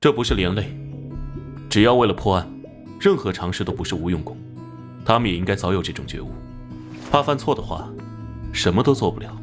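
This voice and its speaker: An actor playing a firm, resolute police captain with an authoritative manner in an animated crime drama. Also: male voice, low-pitched, fairly quick, pauses often. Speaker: police captain giving orders. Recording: music behind.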